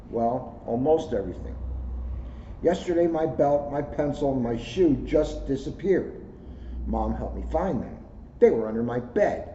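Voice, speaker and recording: Male read-aloud storyteller, soft-edged mellow tone, recorded in a roomy space with muffled, noisy lo-fi sound. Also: fairly high pitch. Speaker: police officer reading a children's book aloud. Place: indoors, second floor of a borough hall. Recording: roomy echo, dull lo-fi sound, clear noise.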